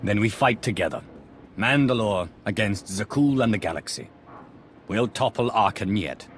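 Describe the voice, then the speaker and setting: An actor playing a resolute dark lord in a video game, speaking formally and deliberately, with authority. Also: male voice, lowish voice, lively intonation, slight background noise, lightly acted. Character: resolute, commanding male dark-side sorcerer lord. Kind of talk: cinematic video game acting.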